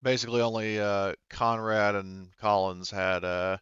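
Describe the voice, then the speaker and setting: A man running an online tabletop game, casually explaining rules in a halting, rambling, informal way. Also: fairly low pitch, little pitch movement, a bit bright, clear, resonant tone, no echo, lo-fi sound.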